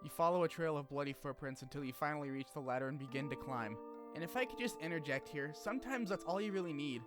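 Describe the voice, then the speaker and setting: A man with a slightly high voice hosts a gaming video essay. He narrates the story from a script and drops in informal, animated asides with the odd swear. Music plays under his voice. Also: quick pace. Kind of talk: scripted game story recap with casual asides.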